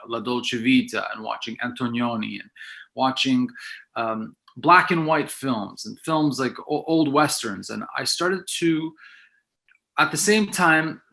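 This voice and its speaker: A man's voice: medium pitch, gravelly, a bit bright. A middle-aged man, a filmmaker guest whose first language is Italian, talking reflectively in a casual interview with many restarts.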